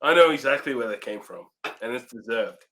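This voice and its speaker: A man's voice: medium pitch, lively intonation, a little fast, a bit bright, low-quality recording. A man co-hosting a podcast in casual, joking banter. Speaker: podcast co-host.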